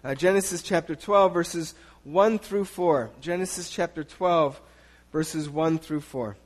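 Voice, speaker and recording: A man preaching a sermon in a church, talking to his congregation in a loose, conversational way with frequent restarts. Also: a little high. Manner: conversational, halting with restarts, chuckling asides. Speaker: pastor. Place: church sanctuary.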